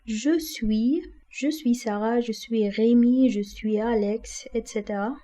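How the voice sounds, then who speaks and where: A woman teaching a beginner language lesson, saying each example slowly and carefully, then explaining quickly in a second language. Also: on the high side, mellow.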